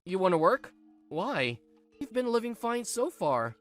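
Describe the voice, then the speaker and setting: A woman's voice: mid-range voice, very animated, measured pace, faint music behind, voice pushed up. A woman voicing a smug, irritable young husband in a manga drama, dismissive, whiny and self-righteous. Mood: irritated.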